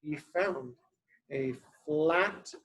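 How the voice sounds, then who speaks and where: A woman reading a picture book aloud to a child as a teacher, expressive, coaxing and explanatory. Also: low-pitched, extremely slow. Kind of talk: children's story read-aloud with questions.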